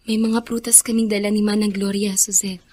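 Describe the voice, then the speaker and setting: A woman's voice: medium pitch, flat delivery, fairly quick, a little mellow, husky, lightly acted. An actress playing a kind, guilt-ridden young woman in a radio drama. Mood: gentle, concerned. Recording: music bed behind the voice.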